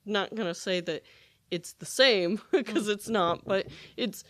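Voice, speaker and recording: A co-host in casual interview talk, chatty and quick to affirm the guest. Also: medium pitch, fairly bright tone. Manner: chatty, affirming with short backchannels.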